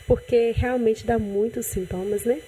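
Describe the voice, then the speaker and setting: Fairly high-voiced Brazilian woman doctor. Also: unhurried, breathy edge, rough recording. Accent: Brazilian Portuguese. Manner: calm, explanatory, with frequent restarts and "né". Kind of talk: explaining on a TV health interview. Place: TV studio.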